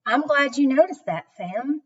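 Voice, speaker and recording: A woman acts out an emphatic male circus owner from a storybook, full of admiration. The speech is slow and very animated, recorded slightly dull and away from the mic. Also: high voice, voice pushed up. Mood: impressed, grateful. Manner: emphatic, deliberate, declarative. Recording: dull, distant microphone.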